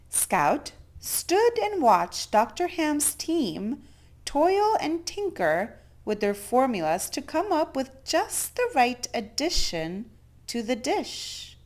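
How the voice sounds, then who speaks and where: A woman reading a children's picture book aloud as storyteller, theatrically, with drawn-out emphasis on key words. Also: a little high.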